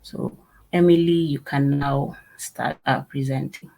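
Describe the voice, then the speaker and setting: A meetup host introduces the speakers of an online talk. The delivery is halting, with fillers and broken-off words, at a middle pitch. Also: fairly even intonation, gravelly, warm tone, rough recording.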